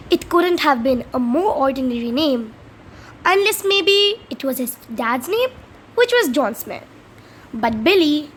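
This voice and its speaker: Female read-aloud host, high voice, children's storybook narration with slight stumbles, faint noise behind.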